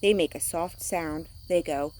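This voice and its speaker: A woman's voice: low-pitched, a little slow, darkish tone. A woman reading a picture book aloud to children, speaking simply like a teacher to young listeners. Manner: acts out sound words.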